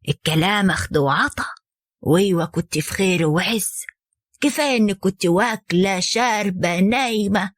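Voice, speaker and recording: Woman doing a low, weary old mother. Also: no echo, studio quality, lightly acted. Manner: slow, soft pleading. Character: weary, gentle older mother.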